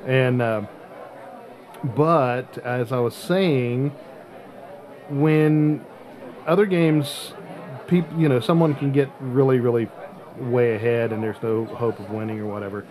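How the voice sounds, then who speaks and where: A man hosting a casual game chat, explaining things in a wordy, precise, halting way. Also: medium pitch, fairly even intonation, a little mellow. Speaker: host of a hobby game podcast.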